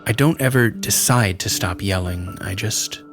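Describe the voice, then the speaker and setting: A man giving a dramatic first-person horror narration, intense and deliberate, in halting short phrases. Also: fairly low pitch. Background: music.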